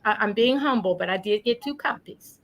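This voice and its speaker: A woman's voice: medium pitch, fairly quick. A woman hosting a community religious program, speaking informally in an encouraging, courteous way. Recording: steady background noise.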